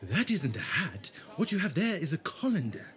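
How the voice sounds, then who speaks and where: A man's voice: a little high, big pitch swings, moderate pace, a bit bright, a little hiss or hum. A man playing a polite, bashful pottery seller in a fan audio drama, courteous and slightly hesitant. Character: polite, bashful market stallholder selling pottery.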